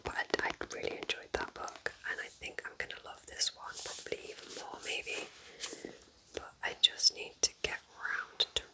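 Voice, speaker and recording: Female ASMR creator, full whisper, breathy and soft, gentle unhurried delivery, casual and intimate recommendations. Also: low-quality recording.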